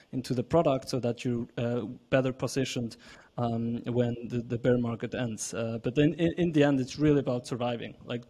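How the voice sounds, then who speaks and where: Young Swiss-born male panelist, warm and even, earnest with many restarts. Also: medium pitch. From Switzerland. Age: young adult. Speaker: startup CEO panelist. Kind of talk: video call panel discussion. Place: small room at home. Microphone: large podcast mic.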